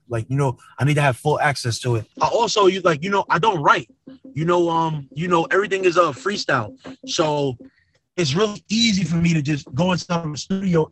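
A man's voice: on the high side, fairly bright tone, dry recording, low-quality recording. A man talking casually as an interview guest, using lots of slang and tags like "man" and "you know what I'm saying".

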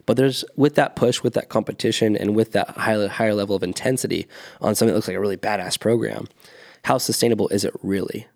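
A man in his thirties with a lowish, gravelly voice, talking through ideas in a casual podcast chat. He explains earnestly and often restarts his sentences as he thinks aloud. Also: fast, darkish tone. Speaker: podcast co-host, fitness coach.

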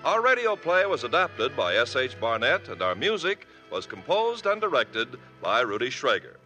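A man announcing the cast credits and commercials on a 1950s radio show, with a smooth, persuasive delivery. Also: medium pitch, very animated, normal speed, bright, forceful tone, faint music behind.

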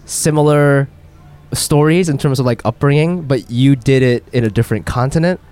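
A man hosting a casual interview chat, feeling his way haltingly through his questions. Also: medium pitch, a little mellow, smooth.

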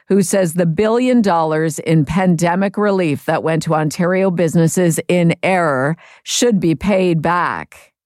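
A woman's voice: on the low side, darkish tone, dry recording, clean studio sound. A woman presenting a radio show from a script as its host.

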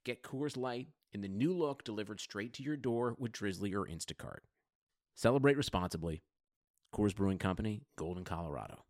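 Man reading an ad, kinda low voice, dry close mic. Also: lively intonation, fairly quick, no echo. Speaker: ad announcer. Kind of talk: scripted beer ad read.

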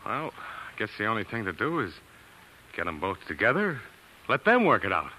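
A man's voice: lowish voice, huge pitch swings, normal speed, sharp tone, heavily acted, low-quality recording. An actor playing an earnest young male science student and narrator in a 1940s radio drama, sometimes hesitant. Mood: flustered. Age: young adult. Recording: vintage radio with musical underscore.

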